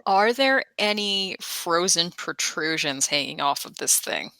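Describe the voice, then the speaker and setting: A man with a high, bright voice plays a tabletop game. He speaks casually, in a very dry recording with music behind. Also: animated, unhurried, vocal fry, no echo.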